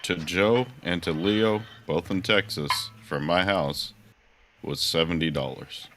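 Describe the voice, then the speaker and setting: A man's voice: low-pitched, slow, crowd noise. A man on a casual tech podcast panel, speaking hesitantly with many fillers. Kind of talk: casual roundtable chat. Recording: noisy home call audio.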